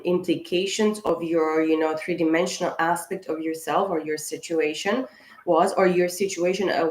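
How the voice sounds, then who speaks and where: A woman with a fairly low voice talks through a spiritual tarot reading, meandering and restarting her sentences as she goes. Her voice is a little hoarse, and she clears her throat now and then. The room around her rings with noticeable echo. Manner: rambling, with many fillers and restarts. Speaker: tarot reader. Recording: echoey room.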